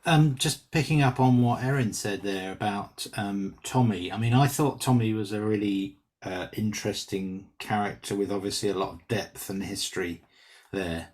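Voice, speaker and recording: A man's voice: on the low side, moderate pace, a little mellow. A man, an actor on a reading panel, giving casual spoken feedback in an enthusiastic, encouraging way.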